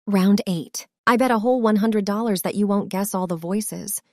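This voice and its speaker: A woman narrates a scripted quiz as its host. Her voice sits at a medium pitch, with music clearly playing behind her. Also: normal speed. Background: music and swooshes.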